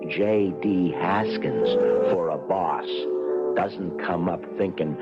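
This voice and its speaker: A man's voice: low-pitched, takes it slowly. An actor playing a nervous, henpecked office clerk who stammers and wryly narrates his own troubles in an old-time radio comedy. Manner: hesitant, stammering, wry. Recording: dull old radio recording with music.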